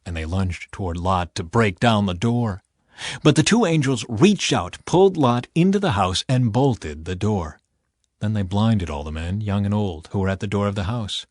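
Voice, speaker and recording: A man's voice: fairly low pitch, very animated, normal speed, a little mellow, no echo, clean studio sound. A man narrating a scripted audiobook expressively as a storyteller.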